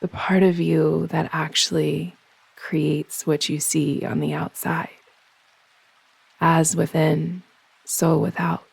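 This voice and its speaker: Slow, low-voiced woman guiding meditation. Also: flat delivery, creaky, darkish tone, breathy edge, no room sound, low-quality recording. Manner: measured, unhurried phrasing with pauses. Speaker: yoga nidra meditation guide. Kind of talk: guided meditation, slow soothing instruction.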